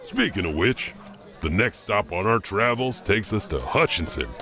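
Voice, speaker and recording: Male horror narrator, low pitch, animated intonation, scripted dramatic storytelling. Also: normal speed. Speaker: host and narrator.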